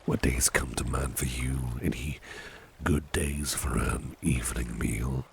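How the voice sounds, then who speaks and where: Man playing a very deep, gravelly, attentive boss. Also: steady tone, a little hiss or hum, exaggerated voice. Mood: obliging, reassuring. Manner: unhurried, coaxing, murmured asides, often repeats phrases. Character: attentive, indulgent businessman boss, smooth and reassuring. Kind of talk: soft-spoken ASMR roleplay.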